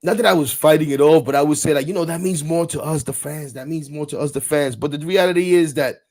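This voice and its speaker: A man in his forties hosting a casual sports talk show, animated and rambling, repeating words and laughing often. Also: a little high, fast. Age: 40s. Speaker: podcast host.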